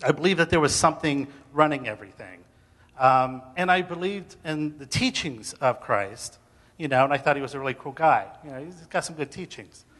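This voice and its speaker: Bright-voiced man speaking in a reverberant hall. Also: a little high, brisk. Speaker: speaker sharing faith story. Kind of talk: personal testimony told to an audience.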